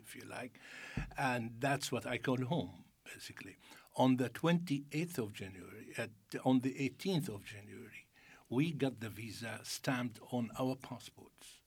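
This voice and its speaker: Husky guy on a panel, chuckles a lot, stops and restarts. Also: mid-range voice. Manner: chuckling, halting storyteller. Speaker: panel guest. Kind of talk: studio discussion.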